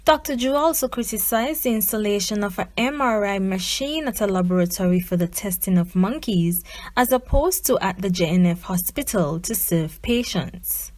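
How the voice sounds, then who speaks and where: A woman's voice: medium pitch, expressive, moderate pace, dry recording. Woman reporter, close dry sound. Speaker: news reporter. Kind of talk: scripted news narration.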